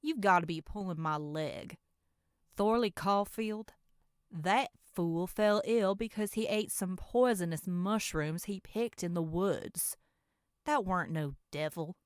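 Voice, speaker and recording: A woman's voice: on the low side, slow pace, smooth, no room sound, studio quality. A woman playing an exasperated, level-headed villager with a folksy, sarcastic manner in a horror roleplay audio drama. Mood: scornful.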